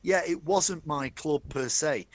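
A man's voice: fairly high pitch, a little slow, no echo. A middle-aged man with a Manchester accent, telling stories from his career as a guest in a chatty, enthusiastic interview. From Manchester, England. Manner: chatty, enthusiastic, addresses host by name. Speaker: guest, stadium announcer. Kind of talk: storytelling interview.

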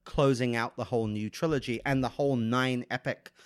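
Man, nasal and rough from a flu, eager casual podcast chat, close dry sound. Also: mid-range voice, brisk, no room sound. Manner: enthusiastic, animated fan talk. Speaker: podcast co-host.

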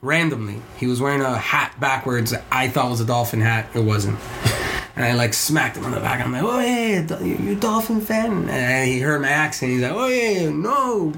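A man's voice: animated, normal speed, vocal fry, fairly bright tone, a little hiss or hum. A man with a Puerto Rican accent, a podcast guest telling a story casually, with many restarts and voiced quotes of others. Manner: rambling with frequent restarts, voices others in quotes with higher pitch.

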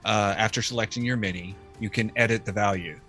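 A man's voice: lowish voice, fairly even intonation, brisk, fairly bright tone, soft background music. A man playing a tabletop game, matter-of-fact and helpful with the rules. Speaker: player. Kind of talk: casual chat at a game table.

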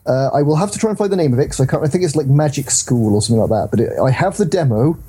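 A man's voice: a little high, animated, racing, mellow, breathy edge. A man hosting a podcast in casual, informal conversation about video games, with the odd mild swear. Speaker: podcast host.

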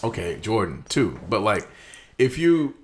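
Slangy, animated young man. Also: on the low side, a little slow, slight background noise. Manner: loose, slangy, animated, lots of fillers. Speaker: podcast co-host. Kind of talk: casual chat about movies.

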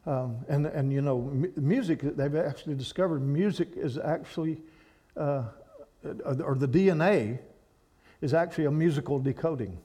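A man preaching to a church congregation, animated and rambling, with frequent restarts. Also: on the high side, normal speed. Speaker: guest speaker at a church. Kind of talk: sermon to a congregation.

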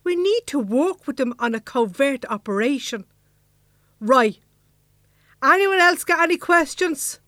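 A woman's voice: sits high, expressive, a little slow, long pauses, a bit bright, dry recording, studio quality. A woman acting the bossy, no-nonsense leader of a secret band in an Irish comedy audio drama, colloquial, with a Dublin accent. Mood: firm, impatient.

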